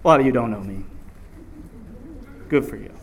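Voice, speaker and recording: A man's voice: mid-range voice, moderate pace, gravelly, slight background noise. A man preaching a sermon, reading scripture aloud and drawing answers back from the congregation. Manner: animated call-and-response preaching.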